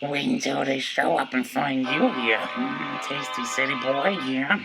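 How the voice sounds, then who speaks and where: A gleeful backwoods henchman in an audio drama, taunting and cackling in a drawl. He is a folksy, hungry river cannibal who craves moonshine, in a mid-pitched voice over music. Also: takes it slowly, creaky. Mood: gleeful menace.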